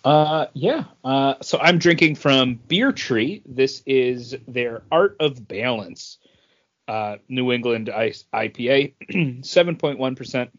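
A man's voice: mid-range voice, lively intonation, slow, lo-fi sound. A man co-hosting a podcast in casual chat, loosely searching for words as he goes. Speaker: podcast co-host.

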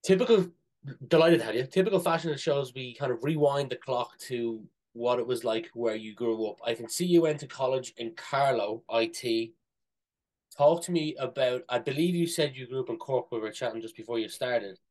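A man's voice: mid-range voice, normal speed, darkish tone, poor audio. Male podcast host. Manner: friendly, loosely phrased questions. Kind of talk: interview questions.

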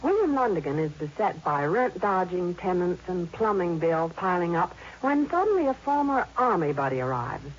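An American woman delivers scripted showbiz news as a vintage radio reporter. Her voice is husky and highly animated. The recording is dull and old. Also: moderate pace, hardly any pauses. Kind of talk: scripted 1950s radio promotion.

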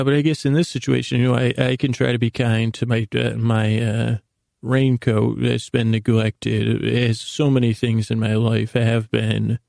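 A man hosting a whimsical solo podcast, meandering and self-deprecating, with gently absurd asides and sentences that trail off and restart. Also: mid-range voice, vocal fry, no echo, studio quality. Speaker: podcast host. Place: small closet indoors, dry sound.

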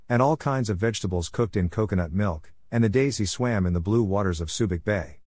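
A man's voice: fairly low pitch, a little mellow, no room sound. A man narrating a scripted personal opinion column as a reader.

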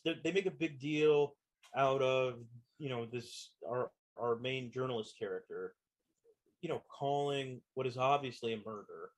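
A man chatting casually, hedging and filling his talk with "you know" and "like". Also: medium pitch. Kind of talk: casual chat about a TV show.

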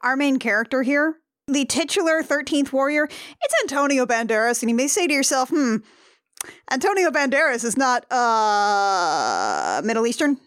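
A woman's voice: sits high, animated, moderate pace, fairly bright tone, smooth. A woman co-hosting a casual movie podcast, chatty and acting out scenes in goofy voices. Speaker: podcast co-host.

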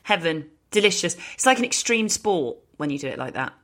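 British female podcast host, deep-voiced, chatty and informal delivery. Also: sing-song, fairly quick, fairly bright tone. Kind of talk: casual conversational podcast chat.

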